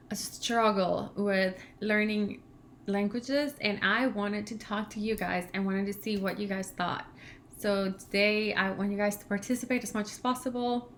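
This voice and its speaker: A woman's voice: medium pitch, a little hiss or hum. A woman in her thirties from Venezuela with a Venezuelan Spanish accent, whose first language is Spanish, chatting casually and rambling on a livestream as a language channel co-host. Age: 30s. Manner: chatty, rambling, laughs often, grows animated when ranting.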